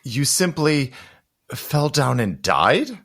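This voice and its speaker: An actor playing a commanding gentleman suitor in a period audio drama, formal and clipped in manner, suspicious and grieving. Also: fairly high pitch, sing-song, slow pace, heavily acted. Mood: suspicious, menacing.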